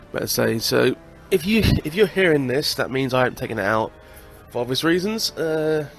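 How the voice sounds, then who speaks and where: A man's voice: medium pitch, animated, moderate pace, creaky, soft background music. Chatty man with a stuffy cold. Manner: chatty, swears, laughs at the game. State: congested with a cold. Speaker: gaming channel host. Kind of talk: casual let's-play commentary.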